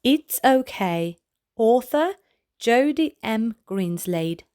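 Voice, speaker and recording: A woman narrates a children's read-aloud in a fairly high voice. Her delivery is very slow and deliberate, gently pitched at little listeners. The sound is dry, with hardly any room echo. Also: lively intonation. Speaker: children's storybook reader, channel host.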